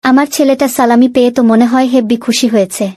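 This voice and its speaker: A woman's voice: fairly high pitch, steady tone, fast talker, background noise, close to an everyday voice. A woman voicing a cheerful, affectionate mother in a children's cartoon.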